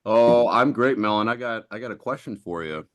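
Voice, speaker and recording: Guy with a lowish voice, podcast co-host, teasing his buddy. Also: normal speed. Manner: teasing, playful. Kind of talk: casual podcast chat.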